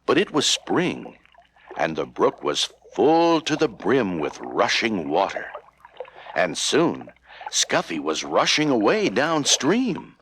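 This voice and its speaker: A man's voice: lowish voice, wildly swooping pitch, slow, a bit bright, slight background noise, rough recording. A man narrating a children's story from a script, with music playing behind him.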